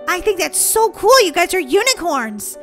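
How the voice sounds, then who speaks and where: A woman voicing an excitable, cheeky, sweet little orphan girl in an animated, exclamatory children's story. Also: very high-pitched, moderate pace, soft background music, exaggerated voice. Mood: eager, pleading. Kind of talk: children's pretend-play story acting.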